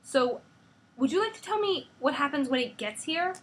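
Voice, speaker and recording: A headstrong teenage heroine speaks in a raised, indignant voice that rides higher than the reader's own, as a woman reads her lines from a comic. She pleads and pushes back without giving ground. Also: lively intonation, moderate pace, fairly bright tone, a little hiss or hum. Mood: defiant. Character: defiant teenage girl hero, headstrong.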